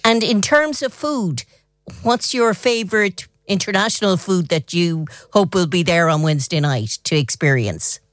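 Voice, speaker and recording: A woman delivers a radio news report and interview questions. Her voice sits fairly low, and the sound is close and dry. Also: expressive, moderate pace, no echo.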